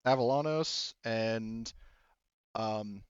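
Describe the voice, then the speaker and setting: A man working as game master, narrating an improvised story in a halting, conversational way with some casual swearing. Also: fairly low pitch, clean tone, no room sound, muffled recording.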